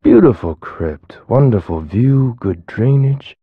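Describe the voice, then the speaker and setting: An actor playing an eerie but courteous cemetery keeper in a video game, husky, unhurried and drily macabre. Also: male voice, medium pitch, lively intonation, dry recording, narrow, tinny audio. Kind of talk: video game character acting.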